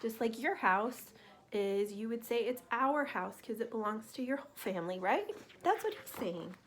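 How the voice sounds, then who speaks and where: A woman reading a children's storybook aloud in an expressive, careful way, pausing to explain things to young listeners. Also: on the high side. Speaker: teacher reading a picture book to children.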